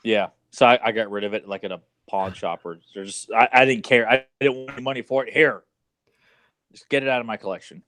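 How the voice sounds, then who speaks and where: A man with a mid-pitched voice talks casually as a podcast co-host. He is blunt and opinionated, often rambling, and the sound is close and dry. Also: fairly even intonation, a little fast, fairly bright tone, no room sound. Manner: blunt, opinionated, mildly profane. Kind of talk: casual chat about video game movies.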